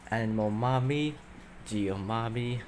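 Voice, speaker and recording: A man giving casual gameplay commentary in loose, halting, broken phrases. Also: medium pitch, a little hiss or hum. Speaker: gameplay video host. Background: loud game music.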